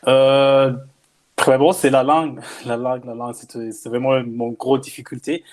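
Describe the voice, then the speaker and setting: Man, medium pitch, Zimbabwean English accent, native English speaker, halting non-native delivery, personal testimonial. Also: level tone, a little mellow. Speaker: panel guest, former mentee.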